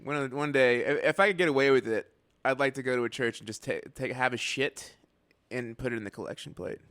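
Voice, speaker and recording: A man guesting on a podcast as a guitarist, chatting in a relaxed, irreverent way. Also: medium pitch, fast talker. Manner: laid-back, irreverent. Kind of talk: casual comedic chat.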